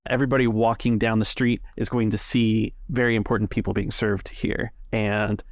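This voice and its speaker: A man narrating a tabletop game as game master, casually improvising descriptions in a halting way. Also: lowish voice, little pitch movement, no room sound, clean studio sound.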